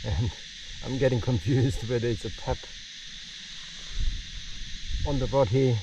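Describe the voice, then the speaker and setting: A man's voice: medium pitch, moderate pace, muffled recording. A man hosting a casual solo fishing vlog, talking outdoors on the water from a board-mounted camera. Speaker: fishing vlogger, host. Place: outdoors on a paddleboard on open water. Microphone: action camera mounted on the board, at a distance. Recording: distant mic, loud outdoor background noise.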